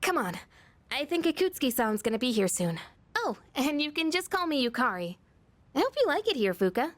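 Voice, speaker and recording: A teenage schoolgirl from an anime-style video game. Her voice is fairly high and swings freely, casual and friendly with a streak of self-doubt. The recording is close and dry. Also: fairly quick, no echo. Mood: warm. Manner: casual, expressive.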